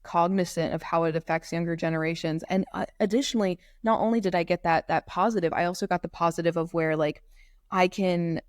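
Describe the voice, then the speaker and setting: A woman's voice: fairly low pitch, steady tone, fairly quick, dry recording, studio quality. A twenty-year-old woman from North Carolina, a law student guest, talking casually on a podcast, rambling with many "like" fillers over her computer mic. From North Carolina, USA. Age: 20s. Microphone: computer mic, remote call.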